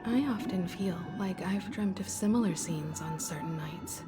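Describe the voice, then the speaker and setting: Woman acting slow, reflective young heroine. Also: lowish voice, lightly acted. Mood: wistful, earnest. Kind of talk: dramatic game voice acting. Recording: studio voice under loud music. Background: loud game music.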